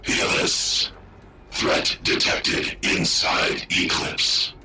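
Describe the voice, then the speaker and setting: A man voicing an ancient war-machine AI, speaking in terse, flat commands through a processed machine voice. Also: extremely slow, soft background music, exaggerated voice. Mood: cold, commanding.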